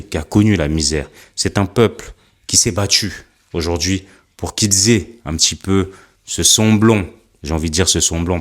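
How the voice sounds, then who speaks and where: A man delivering earnest spoken commentary, building his point through repeated rhetorical questions. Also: deep voice. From Africa.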